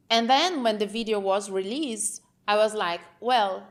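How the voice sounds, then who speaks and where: Unhurried Brazilian woman talking to camera. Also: medium pitch. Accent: Brazilian Portuguese. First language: Portuguese. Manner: frank, rambling, checks in with "okay?". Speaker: channel host. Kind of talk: unscripted talk to camera.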